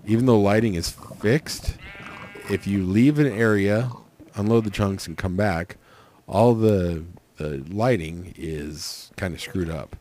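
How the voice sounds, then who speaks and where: A man with a cold, hoarse and raspy, rambling through casual gameplay commentary with lots of fillers. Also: lowish voice, takes it slowly, darkish tone, a little hiss or hum. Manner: rambling, thinking aloud with many fillers. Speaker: let's play host.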